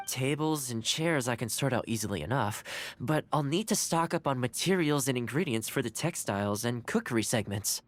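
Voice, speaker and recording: An actor playing a gentle, courteous young man in a video game, speaking in a measured, encouraging way. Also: fairly high pitch. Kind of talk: scripted game character dialogue.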